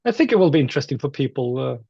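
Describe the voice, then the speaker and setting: A man with a Norwegian accent, a musician chatting casually and haltingly in an interview. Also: mid-range voice, big pitch swings, vocal fry, mellow, breathy edge, dry recording, dull audio. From Norway. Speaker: metal musician being interviewed.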